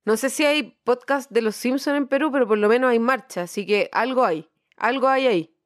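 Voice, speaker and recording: A woman's voice: fairly high pitch, fairly quick. A woman with a Chilean accent co-hosting a podcast in a casual, colloquial, slangy chat. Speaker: podcast co-host.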